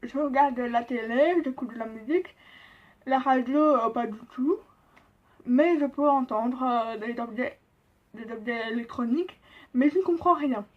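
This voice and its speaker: Young deaf woman with a soft accent. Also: on the high side. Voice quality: slightly nasal, deaf-speech accent. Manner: deaf speaker's accent, halting with many pauses and fillers. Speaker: vlogger. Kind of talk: casual explanatory vlog. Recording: dull lo-fi sound.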